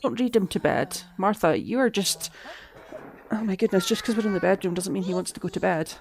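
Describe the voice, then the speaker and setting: A woman, chatting through a live-streamed game, a little hoarse and talking to her characters. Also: medium pitch, expressive, fairly quick, a little hiss or hum. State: slightly hoarse, losing her voice. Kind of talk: live-stream gameplay commentary.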